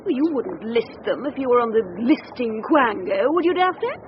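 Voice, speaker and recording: A woman's voice: a little high, very animated, moderate pace. An actress playing an imperious, opinionated older British lady in a radio drama. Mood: indignant, disdainful. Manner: imperious, emphatic, disdainful. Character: bossy, snobbish, opinionated older lady on an outing. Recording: dull radio recording, clear background noise.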